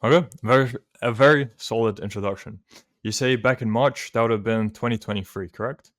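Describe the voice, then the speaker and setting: A man conducting an interview, asking questions in a measured, methodical way. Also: medium pitch.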